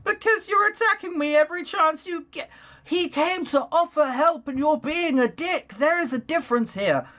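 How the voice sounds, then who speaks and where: A man voicing a fierce, indignant young woman rock bassist in a visual novel, in a raised, high-pitched voice. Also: moderate pace, sharp tone.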